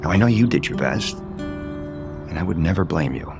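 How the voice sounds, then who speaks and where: A man's voice: deep voice, lively intonation, moderate pace, creaky, music in the background. A male actor playing a guarded, conflicted adult son and unhappily married father in an audio soap opera. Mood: bitter, earnest. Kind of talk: naturalistic soap opera acting.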